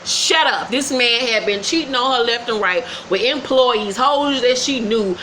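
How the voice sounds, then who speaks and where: A woman's voice: on the high side, measured pace, sharp tone, a little hiss or hum, low-quality recording. A woman hosting a casual gossip recap, blunt, sassy and profane. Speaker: host.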